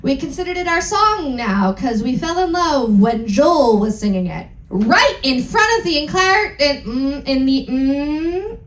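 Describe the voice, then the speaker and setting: A woman performing a comedic dramatic reading on stage, with exaggerated emphasis, deliberate mispronunciations and joking asides. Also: high-pitched, sing-song. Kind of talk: comedic dramatic reading of bad fan fiction. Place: indoor event stage on a cruise. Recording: roomy live venue, faint background noise.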